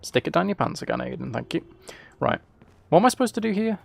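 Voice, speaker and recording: Creaky-voiced man commentating on a game. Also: a little high, sing-song, fast, no echo. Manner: dry, flippant, rambling asides. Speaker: streamer playing a video game. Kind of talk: casual gameplay commentary.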